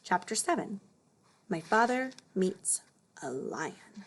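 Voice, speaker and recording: A woman's voice: medium pitch, sing-song, slow, slight background noise. A woman narrating a children's story as a read-aloud. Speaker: storybook reader.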